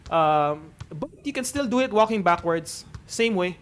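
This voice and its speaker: Filipino man, 30s, slightly high pitch; easygoing live-stream game coach, explains as he goes. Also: unhurried. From Philippines. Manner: relaxed, chatty, explains with frequent fillers. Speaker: gaming streamer.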